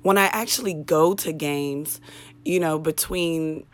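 Low raspy woman hosting a podcast. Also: unhurried. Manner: chatty, candid, ranting with swearing and self-mocking humor. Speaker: podcast host. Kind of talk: casual solo podcast monologue.

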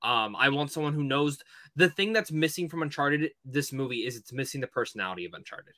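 Bright, forceful male podcast co-host. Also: mid-range voice, lively intonation, brisk, no echo, clean studio sound. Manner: emphatic, swears casually, repeats himself for emphasis. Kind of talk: casual film discussion.

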